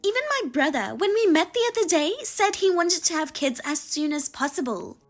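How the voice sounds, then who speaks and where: A woman voicing a pushy, scornful sister-in-law who is a working mother, talking down to her in a sharp, mocking way. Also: very high voice, expressive, normal speed, faint music behind, voice pushed up. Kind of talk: dramatized story reading.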